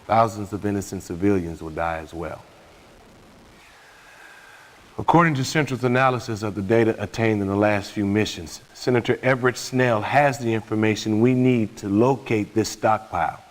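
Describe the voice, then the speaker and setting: Guy acting as stern middle-aged colonel, lowish voice, formal game briefing, very authoritative. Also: brisk, few pauses, close to an everyday voice. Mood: grave briefing. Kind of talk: video game mission briefing.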